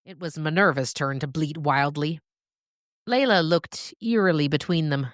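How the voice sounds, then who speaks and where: Low female voice, deliberate dramatic narration, scripted fiction, dry sound. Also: no echo.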